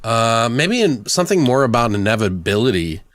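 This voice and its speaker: A man's voice: medium pitch, very animated, a little fast, a bit bright, no echo, studio quality. A man hosting a podcast, chatting casually in a joking manner with plenty of swearing. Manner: profane, joking. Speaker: podcast host.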